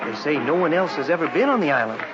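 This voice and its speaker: An actor playing an eager, boyish student pal with gee-whiz folksy enthusiasm in a vintage radio drama. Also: male voice, fairly high pitch, sing-song, fast talker, a crowd in the background, noisy background. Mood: eager, wondering. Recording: dull vintage radio recording, music behind.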